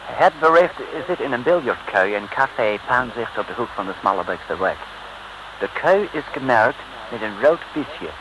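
An actor playing a polite, secretive British police inspector with an English accent, mixing English words in, in old radio serial style. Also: male voice, medium pitch, lively intonation, normal speed, close to an everyday voice. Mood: earnest, instructing. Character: courteous British male police inspector working undercover. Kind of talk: 1950s-60s radio serial acting. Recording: noisy old radio recording.

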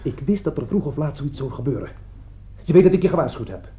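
An actor playing a bitter, sardonic man in a radio play, husky, pointed and halting. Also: a little high, animated, close to an everyday voice. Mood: bitterly accusing. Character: bitter, sardonic man who warned his friend. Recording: dull lo-fi radio play recording.

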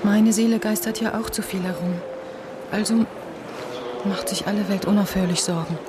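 Weary woman in love actress, amid loud noise. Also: mid-range voice, steady tone, moderate pace, close to an everyday voice. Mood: weary, resigned. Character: weary, elusive woman leaving the man she loves. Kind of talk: German radio drama acting. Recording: loud urban railway station noise. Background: station ambience, vehicles.

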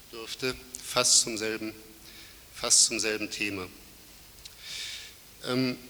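A man's voice: mid-range voice, sharp tone. Man with a cold, formal academic speaker in a reverberant lecture hall. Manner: formal, measured, with frequent fillers and self-corrections. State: has a cold. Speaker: conference speaker. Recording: hissy reverberant hall recording.

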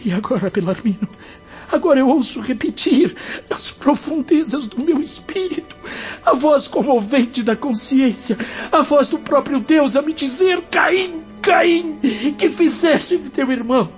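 Anguished, remorseful spirit of a man, lively pitch. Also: unhurried. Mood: tearful despair. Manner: declamatory, impassioned, solemn. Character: anguished repentant male spirit, tormented murderer. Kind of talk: radio drama acting. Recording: dull lo-fi, music behind.

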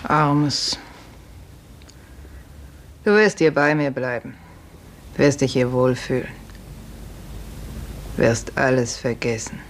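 A woman's voice: very deep voice, slow, pauses often, exaggerated voice. An actress playing a motherly, world-weary stable woman in a 1950s German radio drama, soothing and resigned, on a faintly noisy old recording.

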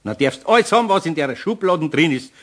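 An actor playing an older Bavarian craftsman in a children's radio play, gruff but good-natured, homely and admonishing, with a husky voice and Bavarian accent. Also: a little high, lively intonation, a little fast, fairly bright tone. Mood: coaxing.

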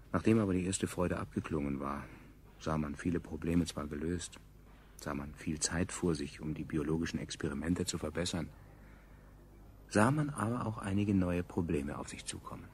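A man acting as the measured, dryly ironic narrator of a 1970s German science fiction radio play. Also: low voice, a little mellow, lightly acted. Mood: detached, ironic. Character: male narrator recounting a dystopian future, detached and ironic. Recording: 1970s radio recording, faint noise.

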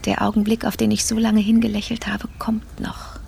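A woman's voice: medium pitch, completely flat delivery, normal speed, gravelly, dark tone, a little hiss or hum. An actress playing a controlled, privately resentful female office boss, talking to herself with clipped resolve in a radio play. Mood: forced optimism. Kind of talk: radio play interior monologue.

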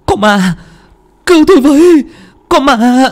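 A man's voice: extremely high pitch, expressive, unhurried, voice pushed up. A man doing a shrieking, crazed young man. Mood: terrified screaming. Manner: shrieking, panicked, frantic. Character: drugged young man, screaming in frenzy.

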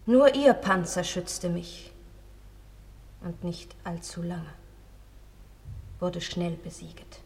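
Very slow, lyrical captive queen. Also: on the low side, animated, a little mellow. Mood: lamenting, lyrical. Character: captured foreign queen, recalling her conquest. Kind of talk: German radio play, lyrical verse recital. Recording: long reverberant hall.

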